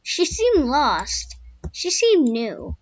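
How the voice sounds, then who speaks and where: A woman telling the tale of a squeaky wild horse. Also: wildly swooping pitch, very slow, dry recording, lo-fi sound, full character voice. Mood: wistful. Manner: first-person storytelling with restarts, turning frantic when scared. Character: young wild male horse, a skittish loner. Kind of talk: amateur spoken story.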